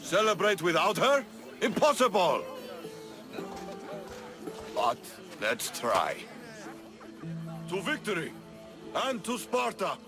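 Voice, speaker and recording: A man playing a proud, heartfelt young Spartan soldier, earnest and expressive, in a video game cutscene. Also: sits high, slow, long pauses, music in the background, heavily acted. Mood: jubilant.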